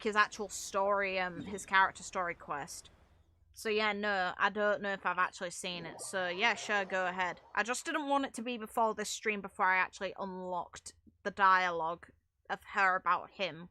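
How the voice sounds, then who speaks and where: A woman's voice: medium pitch, bright, forceful tone. A woman chatting casually and bluntly on a live game stream, with some swearing. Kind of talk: casual live-stream chat while gaming. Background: video game music.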